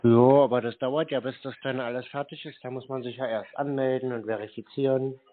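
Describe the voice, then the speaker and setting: A man on a phone call, answering in a deadpan, naive-sounding way. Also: mid-range voice, brisk. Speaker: scam baiter. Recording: tinny phone line with faint noise.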